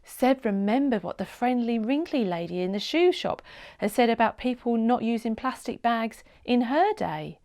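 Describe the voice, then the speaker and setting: A woman reading a children's storybook aloud as an expressive read-along narrator, with dramatic emphasis. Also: a little high, moderate pace.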